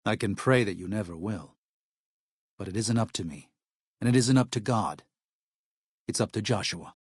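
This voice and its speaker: A man voicing an earnest, weary missionary medic in a video game, speaking in a measured, solemn, plain-spoken way. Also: lowish voice, breathy edge, no room sound, clean studio sound. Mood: grave, solemn. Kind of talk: video game character dialogue.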